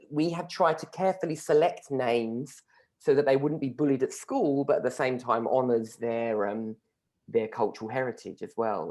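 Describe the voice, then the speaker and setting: A middle-aged man, a guest speaking reflectively in an interview, pausing often with ums. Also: medium pitch, a little mellow. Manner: thoughtful, hesitant, many ums.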